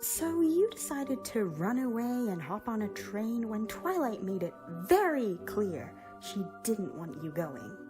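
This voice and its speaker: A woman playing a supportive, mildly teasing grown female pony in an animated drama. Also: mid-range voice, a little slow, few pauses, music in the background. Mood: stern, teasing.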